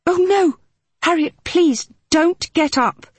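A woman's voice: high-pitched, normal speed, dry recording, voice pushed up, lightly acted. A woman voicing Mitzi, an emotional upper-class woman who gushes and breaks into tears, in an audiobook. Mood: distressed. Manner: gushing, tearful.

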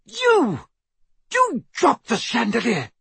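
A man's voice: very high voice, wildly swooping pitch, slow, gravelly, sharp tone, breathy edge, no echo, pitched above the performer's own voice, full character voice. A man voicing a cruel, gloating witch with an imperious, theatrical delivery in a storybook narration. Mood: shrieking fury. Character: cruel, gloating, shrieking witch, adult woman.

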